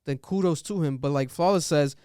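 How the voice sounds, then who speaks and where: Podcast co-host, male, fairly high voice, smooth tone; loose, joking, animated talk. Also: no room sound, clean studio sound. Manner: animated, laughing, quick asides. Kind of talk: casual podcast chat.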